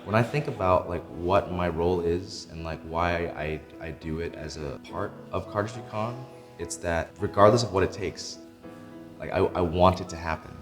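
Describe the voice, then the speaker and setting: A man organizing the event, talking earnestly in a documentary interview, halting with fillers. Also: low-pitched, in a large hall. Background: music.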